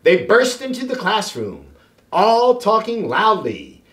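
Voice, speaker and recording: A middle-aged man reads a children's story in a high voice that swings widely up and down. His delivery is theatrical and deliberate, and the room adds a clear echo. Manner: expressive, theatrical, deliberate phrasing. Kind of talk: animated read-aloud for children. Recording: roomy, echoing sound.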